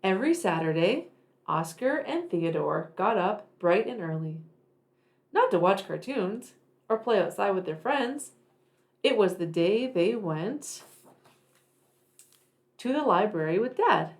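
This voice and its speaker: A woman reading a picture book aloud to young children, deliberate and expressive in her narration. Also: medium pitch. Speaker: children's read-aloud storyteller.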